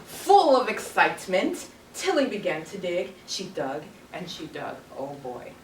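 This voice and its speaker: A woman's voice: fairly low pitch, huge pitch swings, unhurried. A young woman teacher reading a story aloud to young children, stopping to ask questions and echo their answers. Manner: prompting with questions, echoing pupils' answers. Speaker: primary school teacher. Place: carpeted classroom full of young children. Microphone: distant camera microphone. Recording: echoey, distant, noisy classroom pickup.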